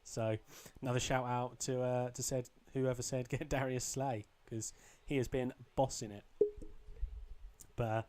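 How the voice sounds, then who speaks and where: A young man with a slightly croaky voice, casually commentating his own football video game match, rambling with ums and restarts. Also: medium pitch, little pitch movement, unhurried, a little mellow. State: a bit croaky. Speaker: gaming channel host.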